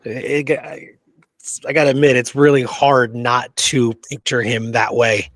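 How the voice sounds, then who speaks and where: A man co-hosting in a casual chat, informal and halting as he thinks out loud. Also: mid-range voice, level tone, no echo. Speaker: co-host. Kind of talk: casual chat, reacting and questioning.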